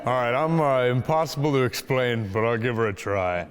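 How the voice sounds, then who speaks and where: A man's voice: mid-range voice, lively intonation, normal speed, smooth, soft background music. A man from Minnesota, a stuntman, comedian and musician, talking casually in a joking, self-deprecating way. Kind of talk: casual interview and stage banter.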